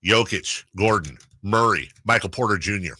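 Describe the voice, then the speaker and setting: A man hosting a sports radio show, excitable and animated as he rattles off stats and banter. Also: fairly low pitch, husky, no echo. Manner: animated, excitable, quick stat rundowns.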